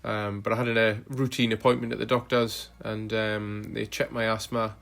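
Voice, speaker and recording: A man narrating footage of his own rides as a cycling vlogger, relaxed and hesitant, with frequent fillers. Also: lowish voice, moderate pace, creaky. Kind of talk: casual voice-over narration of ride footage.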